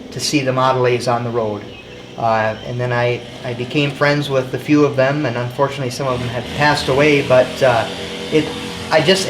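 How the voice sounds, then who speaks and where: A man from Michigan chatting casually as an interview guest, halting and repeating words as he goes. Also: mid-range voice. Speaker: interview guest, car collector. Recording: echoey, clearly noisy location sound.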